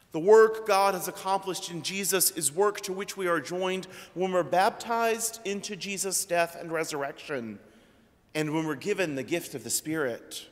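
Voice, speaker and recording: Slow, measured man preaching in a church. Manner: slow, measured preaching with trailing drops in pitch. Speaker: priest. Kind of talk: sermon. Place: church sanctuary at a pulpit. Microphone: pulpit microphone. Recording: long church reverb.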